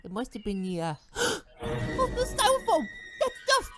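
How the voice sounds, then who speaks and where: A woman's voice: very high voice, huge pitch swings, measured pace, music in the background, exaggerated voice. Melodramatic cartoon hero dog, a woman acting. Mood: alarmed, excited. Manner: melodramatic, pompous. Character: earnest, suspicious, self-important hero dog. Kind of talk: amateur performance of an animated film scene.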